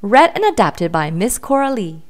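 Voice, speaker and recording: A woman's voice: mid-range voice, very animated, normal speed. A woman hosting a read-aloud for young children, addressing her listeners directly and asking them questions. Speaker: children's story reader and host.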